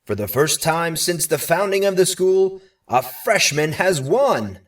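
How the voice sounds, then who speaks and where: A man playing a loud, excited male stage announcer in an audiobook, proclaiming in disbelief. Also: fairly high pitch, measured pace, distant mic, pitched above the performer's own voice. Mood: amazed. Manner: incredulous, proclaiming. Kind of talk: audiobook character acting.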